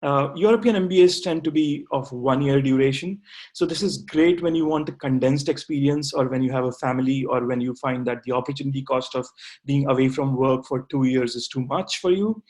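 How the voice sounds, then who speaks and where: A man, speaking as an MBA graduate in a webinar, pausing often on "uh" and restarting phrases. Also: medium pitch, darkish tone. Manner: hesitant, with frequent "uh" fillers and restarts. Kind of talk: webinar talk answering questions.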